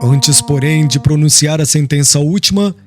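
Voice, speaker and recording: A man reading a literary audiobook narration in a solemn, formal, expressive manner. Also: on the high side, normal speed, over music.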